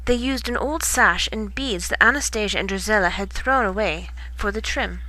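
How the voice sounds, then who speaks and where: A woman reading a children's bedtime story in expressive storybook narration. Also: mid-range voice, moderate pace, no echo, rough recording.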